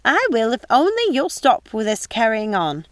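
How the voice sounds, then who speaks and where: A woman's voice: on the high side, huge pitch swings, moderate pace, no echo, voice pushed up. A woman voicing a bossy, worried, motherly housekeeper in an audiobook, emphatic and scolding. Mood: exasperated. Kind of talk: audiobook character voice.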